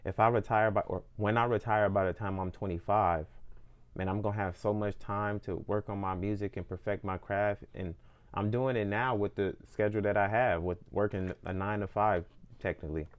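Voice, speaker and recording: A man's voice: lowish voice, steady tone, brisk, lo-fi sound. A man in his twenties talking earnestly about his music and goals in a reflective personal monologue, often repeating and restarting phrases as he thinks aloud. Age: 20s. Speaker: independent musician.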